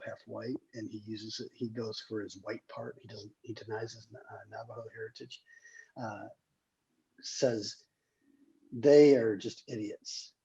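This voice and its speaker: A screenwriter hosting casually, talking in a hesitant, rambling way with a husky, gravelly voice over a dull call recording. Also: medium pitch, warm tone. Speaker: screenwriter and table read host. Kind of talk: casual chat.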